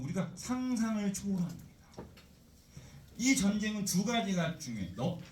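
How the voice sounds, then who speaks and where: Guy teacher lecturing, chatty and animated, asking the class stuff all the time, echoey room, mic a bit far off. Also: high voice. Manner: chatty, animated, full of rhetorical questions.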